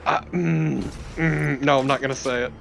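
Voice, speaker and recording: Male gaming co-commentator, average pitch, relaxed chat style over faint music. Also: moderate pace, creaky. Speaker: let's play co-commentator. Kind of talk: casual chat. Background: video game music.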